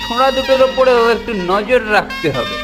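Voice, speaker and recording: A man's voice: very high-pitched, sing-song, brisk, rough recording. A man voicing a bossy, boastful, greedy older schoolboy bully in a cartoon, with a theatrical, domineering delivery. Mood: scheming, boastful. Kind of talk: Bengali cartoon voice acting. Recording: music behind the voice.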